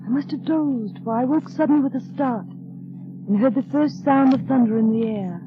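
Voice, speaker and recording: Woman acting high-voiced, wistful anxious young wife. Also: normal speed, close to an everyday voice. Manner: earnest, wistful, dramatic. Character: gentle, anxious young wife narrating her memories. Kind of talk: 1930s radio drama narration and acting. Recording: muffled old radio broadcast, music behind.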